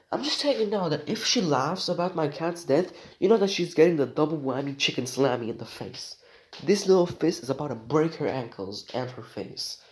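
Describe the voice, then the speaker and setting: Sick teen boy vlogging, rambly and jokey, lots of slang, restarts a lot, medium pitch. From Romania. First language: Romanian. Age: teens. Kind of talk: casual rambling monologue.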